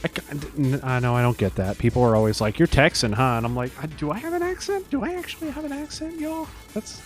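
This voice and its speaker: Easygoing male streamer from Louisiana, animated pitch, faint music under him. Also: fast. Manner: laid-back, laughs often, reads chat aloud. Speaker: stream host. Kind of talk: casual gaming stream chat.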